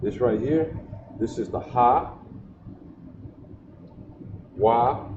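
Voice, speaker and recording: A man speaks at a slow, measured pace as he drills letter sounds and keeps checking "you see that?" His voice sits in the middle range, and the dull livestream audio carries a lot of echo and steady background noise. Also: mid-range voice. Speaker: teacher.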